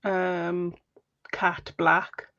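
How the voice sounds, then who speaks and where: A Welsh woman chats slowly and easily with a gravelly, creaky edge to her voice. She is friendly and laughs often. The sound is a bit dull and lo-fi. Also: fairly low pitch, level tone, a bit bright. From Wales. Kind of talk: casual chat while crafting.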